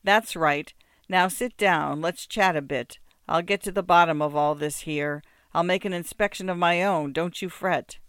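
A man voicing a drunken, boastful peasant who shouts orders and rambles in self-pity. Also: fairly high pitch, moderate pace, smooth, dry recording, studio quality. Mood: bossy. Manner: blustering, bossy, slurred shouting.

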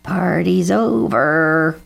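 A woman voicing a brash, loud male crow in a storybook, hollering and squawking. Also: fairly low pitch, very slow, vocal fry, husky, exaggerated voice. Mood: alarmed, squawking.

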